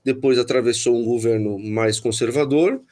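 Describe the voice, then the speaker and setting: A man's voice: mid-range voice, mellow. A man from São Paulo city with a Paulistano Brazilian Portuguese accent, a guest in a political interview, thinking aloud and often restarting sentences. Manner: thoughtful, restarts often, pauses to find words.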